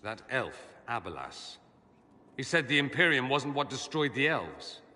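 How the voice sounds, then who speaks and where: A thoughtful male mage with a bright, crisp voice. He often sinks into a murmur as he muses. Long reverb and faint ambience surround him. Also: mid-range voice, big pitch swings, a little slow, exaggerated voice. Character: earnest male mage, proud but reflective.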